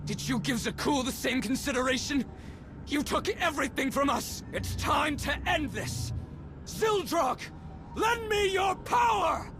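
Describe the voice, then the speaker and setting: A man's voice: very high voice, expressive, a little slow. A man playing a vengeful, embittered villain in a video game, speaking with theatrical bitterness. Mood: enraged. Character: vengeful, embittered male villain who lost everything. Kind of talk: dramatic video game acting. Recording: loud music behind the dialogue. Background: loud music.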